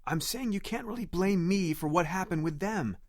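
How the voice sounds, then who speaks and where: A man's voice: high-pitched, normal speed, no echo, clean studio sound, lightly acted. An actor playing a defensive, immature boyfriend in his thirties in an audio drama, emotional and indignant. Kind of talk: naturalistic audio drama acting.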